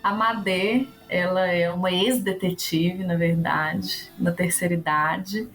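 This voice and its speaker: A woman's voice: fairly low pitch, fairly even intonation, slow, fairly bright tone, faint music behind, poor audio. A woman from Brazil, an actress and educator, talking casually and animatedly about her work, thinking aloud with rhetorical questions. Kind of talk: casual interview talk.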